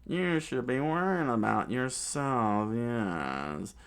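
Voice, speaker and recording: A man voicing a smug middle-aged female villain who drawls slowly. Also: medium pitch, animated, vocal fry, a bit bright, clear, resonant tone, exaggerated voice. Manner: slow, drawling.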